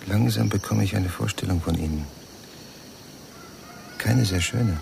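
An actor playing a cynical yet gentle man with a gravelly voice and a blunt, probing manner in a German radio crime drama. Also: lowish voice, a little slow, noisy background. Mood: cynical, frank.

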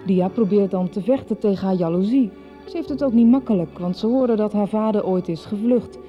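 A woman's voice: mid-range voice, a little fast, faint music behind. A woman reading a scripted recap as narrator of a radio soap. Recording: slightly dull radio recording.

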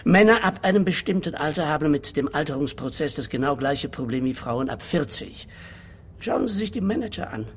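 An actor playing a self-justifying, money-minded anti-aging therapist in a radio play, explaining things in a measured, condescending way. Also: male voice, a little high, animated, a little hiss or hum. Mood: smooth, persuasive.

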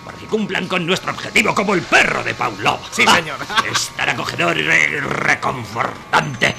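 An actor playing a gloating, contemptuous advertising villain in a satirical radio play, with a gravelly, sneering, drawn-out delivery. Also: male voice, a little high, lively intonation, sharp tone, faint music behind, exaggerated voice. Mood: conspiratorial, smug. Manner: sneering, drawn-out, punctuated by cackles. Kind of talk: satirical radio drama acting.

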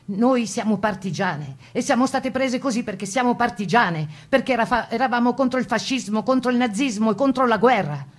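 A woman's voice: on the high side, animated, a little fast, few pauses. Actress as a proud, emphatic partisan woman. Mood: proud, indignant. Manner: emphatic storytelling, acting out quoted exchanges. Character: proud, indignant woman partisan recounting deportation and homecoming. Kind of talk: theatrical first-person testimony monologue.

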